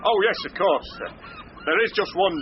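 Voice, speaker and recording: An actor playing a deferential, hesitant police officer in a British radio mystery. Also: male voice, high voice, huge pitch swings, moderate pace. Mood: earnest, hesitant. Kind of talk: British radio drama acting, police dialogue. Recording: muffled, noisy radio drama recording.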